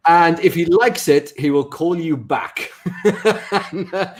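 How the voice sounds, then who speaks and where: A man's voice: a little high, takes it slowly. A man co-hosting a casual comedy chat, telling a rambling story with many restarts. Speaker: show co-host.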